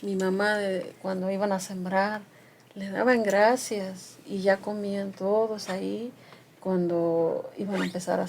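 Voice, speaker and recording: Older mixe grandma on the radio, gets emphatic and lively when making her point. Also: mid-range voice, slight background noise. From Mixe region of Oaxaca, Mexico. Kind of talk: conversational radio talk.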